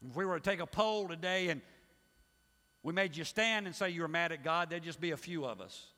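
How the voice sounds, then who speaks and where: A middle-aged male pastor preaching a sermon, emphatic and swinging between loud pushes and hushed asides. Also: a little high, a little fast. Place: church sanctuary. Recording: long hall reverb.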